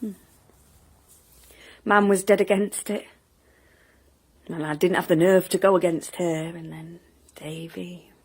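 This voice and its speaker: A woman's voice: on the low side, steady tone, takes it slowly, pauses often, darkish tone, slightly rough. An actress playing an older, plain-spoken woman in a naturalistic audio drama, wistful and wry, sometimes hesitant.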